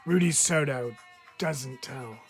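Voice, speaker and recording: A man reading a picture book aloud as a teacher would, expressively, with deliberate dramatic phrasing and frequent pauses. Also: mid-range voice. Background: background music.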